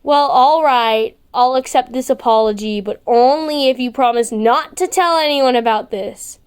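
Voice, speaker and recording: A woman's voice: sits high, normal speed, clean tone, close to an everyday voice. A woman voicing a brash, grumpy, defensive girl in an amateur story reading, blunt and scoffing. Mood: flustered, defiant. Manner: blunt, scoffing, easily flustered.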